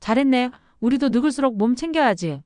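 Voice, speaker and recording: Woman voicing a high, chatty older lady. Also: expressive, a little fast, a little mellow, no room sound, clean studio sound, close to an everyday voice. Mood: approving. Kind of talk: audiobook drama dialogue.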